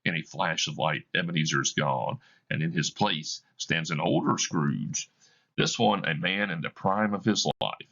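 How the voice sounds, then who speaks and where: A man reading a stage play aloud for students, steady and measured. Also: very deep voice, vocal fry, muffled recording. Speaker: reader.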